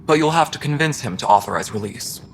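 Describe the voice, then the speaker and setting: A man's voice: mid-range voice, lively intonation, fast talker, gravelly, soft background music. An actor playing an earnest, protective male engineering chief on a space station.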